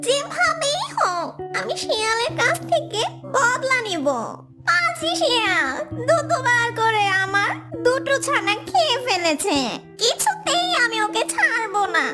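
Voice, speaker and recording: A woman's voice: extremely high pitch, big pitch swings, a little slow, exaggerated voice. A woman voicing a small grieving mother tailorbird bent on revenge in a children's cartoon, emphatic and childlike. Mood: vengeful. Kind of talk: children's cartoon story dialogue. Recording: music behind the voice. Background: children's music.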